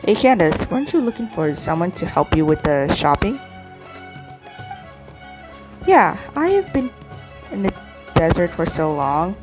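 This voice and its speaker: A woman gaming streamer reading dialogue aloud during a playthrough, halting and stumbling, often repeating words. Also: on the low side, very animated, rough recording. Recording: game music behind the voice. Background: video game music.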